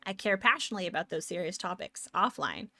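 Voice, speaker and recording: A woman's voice: fairly low pitch, fast talker, gravelly, pushed and bright, no room sound, studio quality. A young woman with a Canadian accent, chatting casually with her stream viewers, rambling and upbeat.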